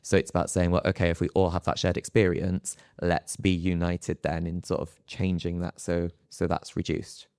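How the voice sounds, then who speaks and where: A man speaking off the cuff on a discussion panel in a reflective, halting way. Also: sits low, monotone, a little mellow, clean tone, dry recording, clean studio sound.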